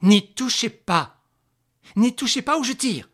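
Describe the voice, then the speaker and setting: A fierce mother in a dramatic novel, acted out by a male reader. She is urgent and commanding, defiant on behalf of her child. The voice has a husky grain. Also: a little high, lively intonation, measured pace, heavily acted. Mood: threatening.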